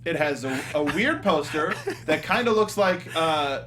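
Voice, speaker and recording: A man with a high-pitched voice co-hosts a casual film review show. He talks in a jokey, halting way and often interrupts himself. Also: animated. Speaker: host.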